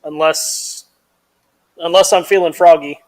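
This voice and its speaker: Man, fairly high voice, laid-back live stream co-host, blunt, chuckles a lot. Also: steady tone, measured pace, a little mellow. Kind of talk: casual chat.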